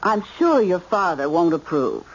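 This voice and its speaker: A woman's voice: fairly low pitch, big pitch swings, moderate pace, breathy edge. An actress playing a fussy, anxious middle-aged mother in old-time radio style, motherly and imploring. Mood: anxious, pleading. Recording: muffled old radio recording.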